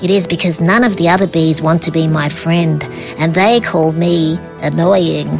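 A woman's voice: deep voice, steady tone, moderate pace, vocal fry. A woman voicing a lonely, friendly little male bee in a children's story, over background music. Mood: eager.

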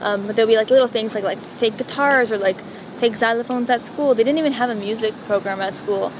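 A woman's voice: on the high side, fast. A woman from Montreal whose first language is Italian, chatting in a rambling, filler-heavy way as an interview guest outdoors. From Montreal, Canada. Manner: rambling, chatty, many fillers, self-deprecating. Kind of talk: casual chat. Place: outdoors on a city street. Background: traffic and bus noise.

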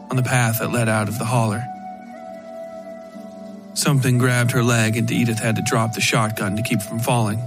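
A man narrating a scripted dark fiction story for a podcast. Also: medium pitch.

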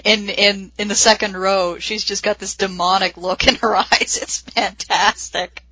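A woman with a medium-pitched voice talks casually about comics as a podcast host. She is emphatic and playfully hyperbolic, recorded dry with no room sound. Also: steady tone, unhurried, pushed and bright, dry recording, rough recording. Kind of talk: casual comic book discussion.

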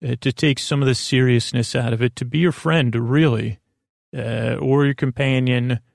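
A man with a creaky voice hosting a bedtime podcast, talking in a soothing, meandering, halting monologue with goofy asides. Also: medium pitch, fairly even intonation, no echo, studio quality. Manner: soothing, lulling delivery. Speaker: podcast host. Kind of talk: rambling bedtime monologue to help listeners sleep.

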